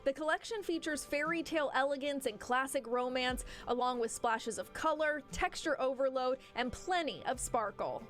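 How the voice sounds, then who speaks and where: High-voiced American woman reporting a feature. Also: moderate pace, few pauses, faint music behind. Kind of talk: scripted broadcast narration.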